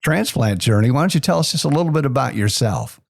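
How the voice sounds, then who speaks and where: A man's voice: medium pitch, animated, fast, vocal fry. A man hosting an interview podcast, friendly and easygoing, with some "uh" fillers as he asks questions. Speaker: podcast host.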